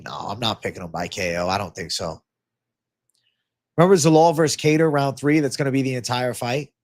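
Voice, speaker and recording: A man with a stuffy, congested nose hosting a casual livestream, reading viewer comments aloud in a laid-back, slangy way and swearing freely. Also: mid-range voice. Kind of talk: casual livestream Q&A on fight betting.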